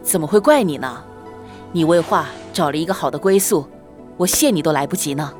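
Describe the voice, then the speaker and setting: A woman playing a courteous, resolute young gentleman painter in a Chinese period audio drama. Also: deep voice, a little fast, lightly acted. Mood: courteous, tender. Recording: music behind the voice.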